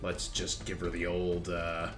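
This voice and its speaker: A man's voice: low voice, monotone, a little slow. Casual male game master over music. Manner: informal, profane, thinking aloud. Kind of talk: casual tabletop game narration. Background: game background music.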